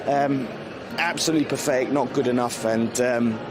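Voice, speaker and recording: A man's voice: mid-range voice, normal speed, heavy background noise. A man giving a disappointed verdict on his team's defeat, calling the performance not good enough. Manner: disappointed, critical. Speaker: football fan reviewing a result. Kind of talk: fan video verdict.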